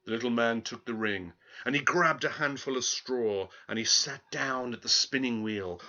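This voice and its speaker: A man telling a fairy tale aloud in a theatrical, deliberate, expressive way. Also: medium pitch, highs cut off. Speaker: storyteller.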